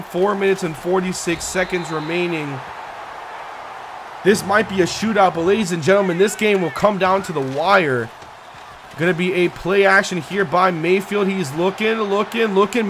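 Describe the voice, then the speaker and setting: A young man doing play-by-play commentary over a football video game, getting excited on big plays while he says he is losing his voice. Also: slight background noise. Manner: excitable, pitch climbing on big plays, yard-line countdowns. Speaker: gaming YouTuber doing play-by-play.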